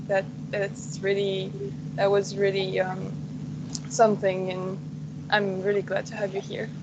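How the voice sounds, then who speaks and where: A nineteen-year-old woman introduces herself shyly, with a flat, level delivery. She is on a video call. The audio is dull and carries clear background noise. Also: mid-range voice, a little slow. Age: young adult. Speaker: student interviewer.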